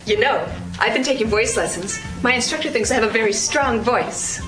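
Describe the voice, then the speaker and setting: A woman's voice: on the high side, fairly quick, loud music behind the voice, rough recording. A woman in a comedy sketch, eagerly promoting herself.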